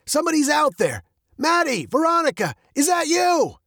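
A man voicing a cheerful, playful dad in a storybook, with an excited, sing-song manner. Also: very high voice, moderate pace, vocal fry, no room sound, studio quality, voice pushed up, exaggerated voice. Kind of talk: storybook character voice.

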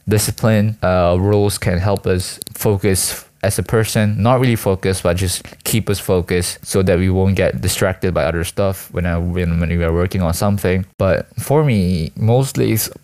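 A man's voice: deep voice, darkish tone. A young man from Malaysia, a student, rambling casually in a hesitant, self-deprecating, slangy way. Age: teens to young adult, school student. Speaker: student vlogger and aspiring actor.

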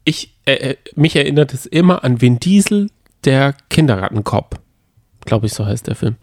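Male co-host, mid-pitched voice, loose bantering talk, very dry acoustics. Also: sing-song, moderate pace, a little mellow, no room sound. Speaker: co-host of a reality TV recap show. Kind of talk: casual bantering chat.

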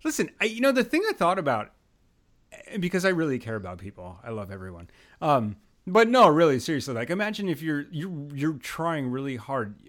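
A man's voice: a little high, fairly quick. A man hosting a casual podcast, joking and laughing easily as he chats with his guest. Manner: joking, laughs often, expressive. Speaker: podcast host.

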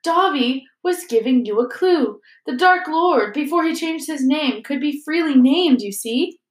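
A woman voicing a small, servile male house elf with a shrill, squeaky voice and an emphatic, excitable manner. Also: a little slow, reverberant. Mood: adoring, eager. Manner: speaks of himself in third person, emphatic.

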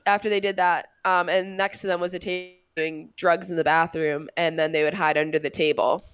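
A woman's voice: lowish voice, fairly even intonation, fairly quick, clean tone, no room sound. A young woman co-hosting a podcast in casual chat, blunt and swearing freely as she tells stories. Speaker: podcast co-host.

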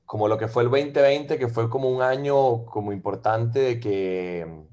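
A Venezuelan-born man in his thirties with a Costa Rican accent, chatting casually as a podcast guest and filling pauses with "ma" and "eh". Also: medium pitch, lo-fi sound. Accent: Costa Rican Spanish. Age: 30s. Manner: relaxed, fills with "ma" and "eh". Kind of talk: casual interview chat.

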